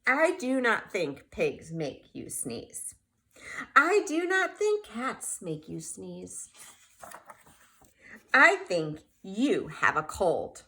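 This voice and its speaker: A woman voicing a calm, sensible cat doctor who speaks slowly and matter-of-factly. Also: mid-range voice, pitched below the performer's own voice, heavily acted. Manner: slow, matter-of-fact.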